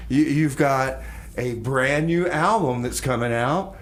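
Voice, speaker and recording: Male community radio host, mid-pitched, casual and rambling, folksy delivery over faint studio noise. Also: slow. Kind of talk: casual rambling on-air talk. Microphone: studio microphone.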